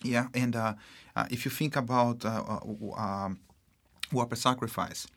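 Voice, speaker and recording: A man from Brazil with a Brazilian accent, a marketing executive speaking as an interview guest in a halting way, full of fillers and restarts. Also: medium pitch, steady tone, a bit bright. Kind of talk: casual interview talk.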